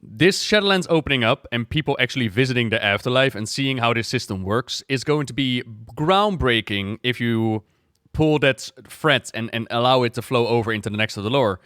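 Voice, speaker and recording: Smooth-voiced man, dry close-up commentary. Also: mid-range voice, animated, fairly quick, a bit bright, no echo. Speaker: streamer, game lore commentator. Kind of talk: casual reaction commentary.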